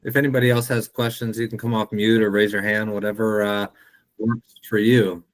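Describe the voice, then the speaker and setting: A man hosting an online webinar, relaxed and full of fillers as he wraps up. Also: on the low side, a little fast. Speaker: webinar host. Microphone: video call.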